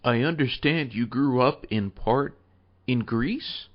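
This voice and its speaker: A man's voice: mid-range voice, slow, dull audio. A man hosting an interview, giving a formal introduction and putting prepared questions to his guest. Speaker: interview host.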